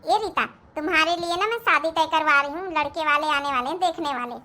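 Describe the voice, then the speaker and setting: Woman playing young rich bahu in a cartoon, really high bright voice, sassy, fake polite, bossy confident. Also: brisk. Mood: affectionate, coaxing. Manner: mock-polite, assertive, sugary. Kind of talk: Hindi moral-story cartoon acting.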